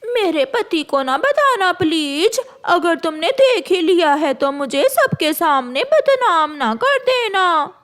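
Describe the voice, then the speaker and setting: Young cartoon crow wife, woman performer: high, slow, guilty, pleading delivery. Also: very animated, a bit bright, heavily acted. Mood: nervous, pleading.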